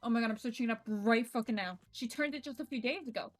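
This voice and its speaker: A woman streamer reading visual novel narration aloud in an expressive way, with casual, sweary reactions. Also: a little high, fast talker. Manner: expressive, self-interrupting, swears freely. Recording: game music behind the voice. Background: game music.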